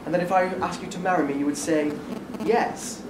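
A man's voice: high-pitched, fairly even intonation, fairly quick, close to an everyday voice. A young man playing a bashful, earnest fairy-tale prince in a stage pantomime, projecting hesitantly. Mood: hopeful. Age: young adult. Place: theatre stage before an audience. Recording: echoey theatre, distant mic, room noise.

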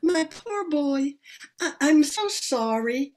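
A woman's voice: sits high, expressive, takes it slowly, a little mellow. An older woman playing a meddling, proper old aunt with an earnest, moralizing manner in an amateur radio play. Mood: earnest, pleading. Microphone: computer on video call.

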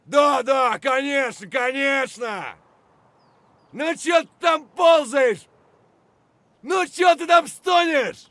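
An actor playing an aggressive, crude male soldier, shouting urgent combat barks for a video game. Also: extremely high pitch, slow pace, long pauses, dry recording, exaggerated voice. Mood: taunting.

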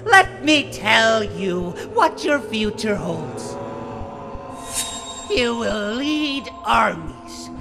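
A performer voicing a taunting, gloating demon who foretells doom in a comic dub, mocking, drawn-out and ominous. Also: medium pitch, big pitch swings, gravelly, full character voice. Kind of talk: theatrical comic-dub villain acting. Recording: music behind the voice.

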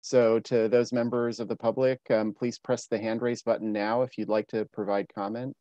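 A man on city staff reading out meeting procedures over a video call in a measured, scripted way. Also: mid-range voice, flat delivery, mellow, no room sound. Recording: dull, dry video-call audio.

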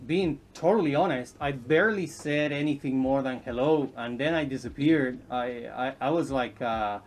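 A man in his thirties from Chile, a podcast guest chatting casually and haltingly, with many ums and restarts, recorded from a distance in a living room. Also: medium pitch, slight background noise. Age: 30s. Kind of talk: casual interview chat. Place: indoors, home living room.

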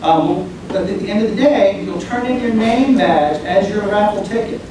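A high-voiced man runs through event announcements in a casual way, stopping and restarting often. He is recorded from far back in a big, very echoey conference room. A clear noise floor sits under his voice. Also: moderate pace. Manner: casual, many fillers and restarts. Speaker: event organizer. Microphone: far camera at back of room.

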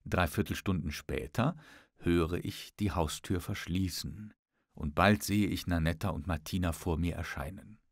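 A man narrating a literary audiobook in a measured, expressive storytelling manner. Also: deep voice, no echo, studio quality.

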